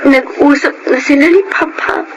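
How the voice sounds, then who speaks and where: A Filipino actress playing a gentle, forgiving young sweetheart in an apologetic radio drama style. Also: female voice, high voice, fairly even intonation, normal speed, highs cut off, close to an everyday voice. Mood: tender, apologetic. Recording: music bed under radio drama voice.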